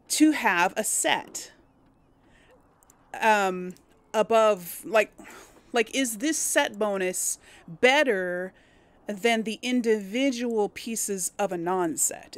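A woman giving casual, chatty gameplay commentary as a let's play host, explaining things and correcting herself as she goes. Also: medium pitch. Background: video game ambience.

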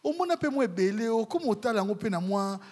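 High-voiced man answering at a press table. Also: animated, fast talker, darkish tone. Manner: rambling, drops to murmurs then rises, sings phrases. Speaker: project representative at a press conference. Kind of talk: answering questions, mixing French and Lingala. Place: hall with bookshelves, seated at a panel table. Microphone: handheld mic.

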